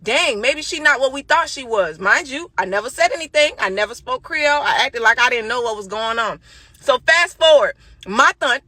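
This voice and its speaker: A woman's voice: sits high, expressive, moderate pace, bright, forceful tone, dry recording. A sassy, emphatic woman telling a personal story casually, with some swearing.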